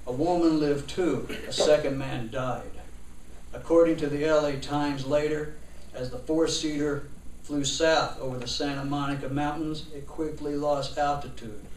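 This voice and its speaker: A middle-aged man giving a live literary reading of a personal essay. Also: medium pitch. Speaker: essayist reading own work. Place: live performance in a library room. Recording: roomy, distant mic, faint noise.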